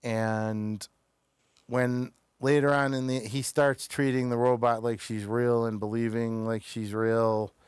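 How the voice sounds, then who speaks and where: A man hosting a casual review discussion, talking in a halting way that often trails off and picks up again. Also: medium pitch, little pitch movement, clear, resonant tone, no echo. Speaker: show host reviewing television episodes.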